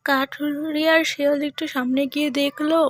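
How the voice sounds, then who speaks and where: A woman narrates a scripted tale. Her voice is notably high for a woman. The recording is close and dry, free of room sound. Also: flat delivery, moderate pace, dry recording.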